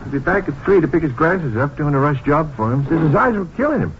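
An actor playing a chatty, obliging small-town Texas optometrist with folksy clipped phrasing in a 1950s radio drama. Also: male voice, a little high, sing-song, quick pace. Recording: muffled, hissy old radio recording.

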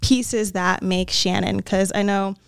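A woman's voice: mid-range voice, fairly even intonation, slow, gravelly, clean tone, dry recording. Woman, early 30s, podcast co-host, very clean dry recording, upbeat and giggly. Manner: warm-hearted, giggly, upbeat. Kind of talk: casual chat.

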